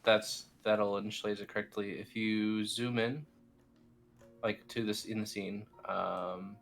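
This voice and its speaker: A man with a low voice guides someone through software one click at a time. His delivery is informal and a bit hesitant, like a colleague instructing over a screen. Faint music plays underneath. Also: level tone, measured pace, gravelly. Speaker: team lead explaining software steps. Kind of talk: casual step-by-step screen tutorial.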